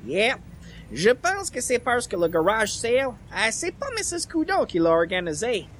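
Actor as a high-pitched, lively anglophone guy. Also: unhurried, a little hiss or hum, heavily acted. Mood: casual explaining. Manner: mixes English phrases into casual French. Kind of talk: comedy radio play acting.

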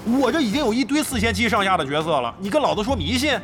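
A man's voice: high voice, lively intonation, quick pace, music in the background. An actor playing a brash, crafty middle-aged veteran gamer in a Chinese audio drama, cocky and slangy, grumbling and swearing as he banters. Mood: opinionated.

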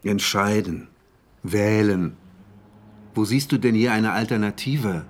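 An actor playing a troubled, intense male scientist who narrates his own ordeal in measured radio drama style. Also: on the low side. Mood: bitter, exasperated. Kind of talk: German radio drama, literary first-person narration.

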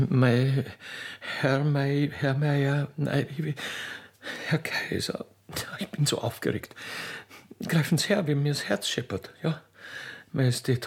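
A man's voice: mid-range voice, slightly rough. Man voicing a flustered Austrian country girl. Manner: breathless, gushing, stumbling over words.

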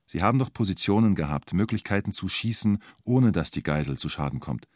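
Low-voiced male documentary narrator. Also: lively intonation, a little fast, no room sound, clean studio sound.

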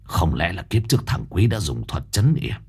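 A man voicing a gruff, impatient middle-aged investigator who growls and swears, in a husky voice. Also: low-pitched, sing-song, moderate pace, darkish tone, pitched below the performer's own voice, full character voice. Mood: brooding. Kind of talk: audiobook character voice.

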